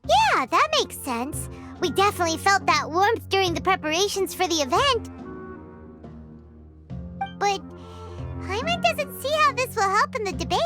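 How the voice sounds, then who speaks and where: A woman's voice: very high-pitched, sing-song, normal speed, long pauses, heavily acted. A woman voicing a tiny, chirpy floating fairy companion who bounces around and speaks of herself in the third person, in a game. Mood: upbeat. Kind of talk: game voice acting. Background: soft game music.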